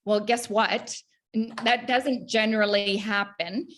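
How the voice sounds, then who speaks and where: A woman from Canada speaks as a guest on a health interview. She talks earnestly and encouragingly, pausing on fillers and restarting phrases as she explains her coaching work. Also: medium pitch, fairly even intonation, a bit bright, slight background noise. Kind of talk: casual interview talk.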